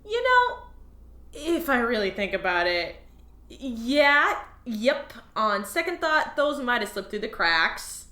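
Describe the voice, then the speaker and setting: A woman's voice: a little high, very animated, takes it slowly, sharp tone, heavily acted. A woman playing a lazy, evasive new alien office worker who dodges blame in a comedic audio drama. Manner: evasive, sheepish, flippant. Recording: roomy echo.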